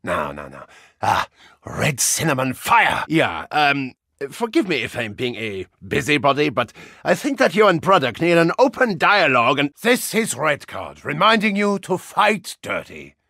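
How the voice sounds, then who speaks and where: An actor playing a boisterous German soccer-hooligan brawler with a soft side, in a bombastic, comically theatrical video game style with a German accent. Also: male voice, a little high, wildly swooping pitch, normal speed, gravelly, no echo, studio quality, full character voice. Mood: blustering, chatty. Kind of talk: animated video game character lines.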